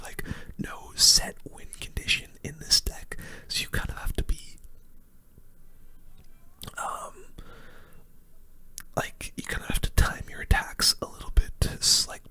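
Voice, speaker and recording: A game streamer whispers through relaxed-worded, conversational play-by-play in an ASMR style. The whisper is soft and unvoiced, with a very bright, sharp edge. The phrasing is casual and full of gamer slang. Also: very high voice. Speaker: video game streamer and host. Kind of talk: ASMR whispered gameplay commentary.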